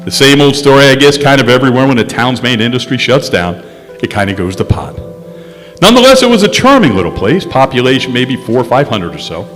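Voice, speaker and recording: A man playing a wry, smooth-talking insurance investigator who narrates his case in the style of a 1950s radio detective drama. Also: mid-range voice, very animated, fast talker, fairly bright tone. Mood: wry, confiding. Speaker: stage radio actor. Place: stage in a historic courthouse hall. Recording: echoey hall, music underneath.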